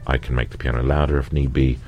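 Very deep-voiced male singer. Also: flat delivery, quick pace, a little mellow. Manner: reflective, laughs easily. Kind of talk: conversational interview.

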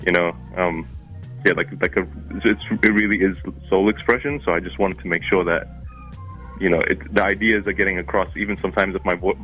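Deep-voiced man with an Arabic-Kiwi accent. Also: fairly even intonation, brisk, muffled recording, soft background music. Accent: mix of Arabic and New Zealand. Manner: rambling, many fillers and restarts. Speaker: musician guest. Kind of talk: casual interview chat.

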